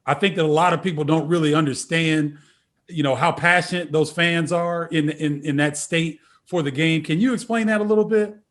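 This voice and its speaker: A man's voice: on the high side, steady tone, moderate pace, a bit bright. A man hosting an interview show, asking long questions and giving short affirmations. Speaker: show host.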